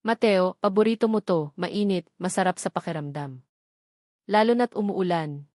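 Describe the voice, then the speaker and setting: A woman voicing a gentle, patient young female nanny in an audio drama, softly coaxing and playful. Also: on the low side, moderate pace, dry recording, clean studio sound, natural voice. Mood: tender, coaxing. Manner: soft, coaxing.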